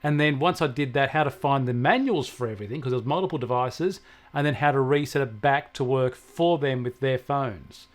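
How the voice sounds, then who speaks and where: A man's voice: mid-range voice, a little fast. A man explaining things conversationally as a technology co-host, telling anecdotes from his own work. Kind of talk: conversational tech discussion.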